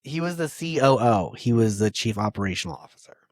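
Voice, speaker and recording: A man's voice: mid-range voice, expressive, a little fast, dry recording, clean studio sound. A man co-hosting a podcast in casual chat, laughing easily and reading quotes aloud as he tells a story. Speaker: podcast co-host.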